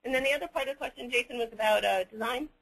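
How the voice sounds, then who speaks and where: Halting man with a creaky, bright voice. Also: extremely high pitch, low-quality recording. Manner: hesitant, halting, picking words with care. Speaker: webinar presenter answering audience questions. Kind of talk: unscripted question-and-answer talk.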